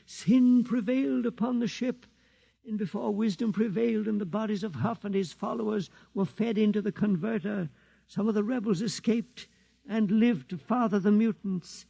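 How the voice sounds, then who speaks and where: A man in a 1950s radio drama playing an aged keeper of a sacred legend, reciting slowly and solemnly. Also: sits high, gravelly, dark tone, husky, exaggerated voice, low-quality recording. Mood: grave. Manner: slow, intoning recitation. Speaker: old-time radio drama actor.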